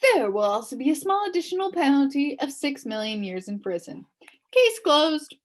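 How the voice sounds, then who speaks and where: A woman's voice: high-pitched, big pitch swings, normal speed, voice pushed up. A woman voicing a pompous, officious male policeman and judge in a storybook, declaiming with cheerful menace. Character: pompous, brisk policeman who is judge and jailer.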